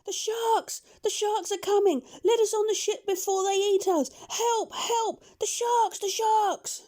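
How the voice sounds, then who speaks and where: A woman voicing frightened pirates shouting in panic. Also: very high-pitched, fairly even intonation, measured pace, voice pushed up. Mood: panicked. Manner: panicked shrieking.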